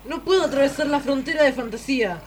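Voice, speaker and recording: A man's voice: very high-pitched, fast. A man playing a brave, anguished young boy warrior in a radio drama, earnest and defiant.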